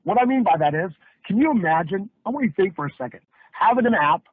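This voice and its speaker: High-pitched man pitching over a muffled call. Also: lively intonation, fast, husky, dry recording. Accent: North American. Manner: emphatic, hyped storytelling with rhetorical questions. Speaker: network marketing presenter. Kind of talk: sales pitch on a conference call. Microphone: phone or conference line. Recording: muffled, band-limited conference call audio.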